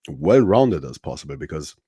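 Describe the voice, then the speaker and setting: A very deep-voiced man in his thirties with a Romanian accent talks casually as a podcast guest. He speaks in a halting, thoughtful way, pausing on fillers and restarting his phrases. The sound is dry and close. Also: sing-song, mellow, husky, dry recording. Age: 30s. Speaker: podcast guest, strongman athlete. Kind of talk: casual interview chat.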